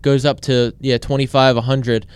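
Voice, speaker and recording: A man's voice: medium pitch, level tone, moderate pace, smooth, dry recording. A man co-hosting a casual sports podcast, with a dry, teasing way of talking. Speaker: podcast co-host.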